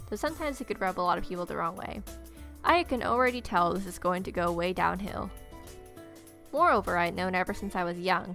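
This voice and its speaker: Quick-talking young woman reading a game. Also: mid-range voice, a bit bright. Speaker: game player reading aloud. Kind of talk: read-aloud visual novel narration with casual asides. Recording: music playing behind.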